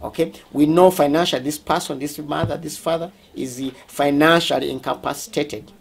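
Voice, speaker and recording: A man with a Ugandan accent speaking as a school representative, explaining things during a tour in a halting, deliberate way, often rephrasing. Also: fairly high pitch, fairly even intonation, creaky, a little hiss or hum.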